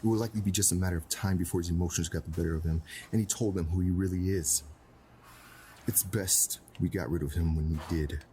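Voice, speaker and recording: A middle-aged man acting as a cold, domineering crime boss and stern father, speaking formally and deliberately with menace in a dramatic scene. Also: low voice, fairly even intonation, warm tone, close to an everyday voice. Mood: cold, controlled. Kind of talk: dramatic film-style acting. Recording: clearly noisy background ambience.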